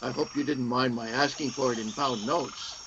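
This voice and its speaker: A man's voice: mid-range voice, fairly even intonation, moderate pace, fairly bright tone, lo-fi sound, a little hiss or hum, natural voice. A man playing an uneasy, money-minded saboteur in a radio play, with a defensive, hesitant manner. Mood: self-satisfied.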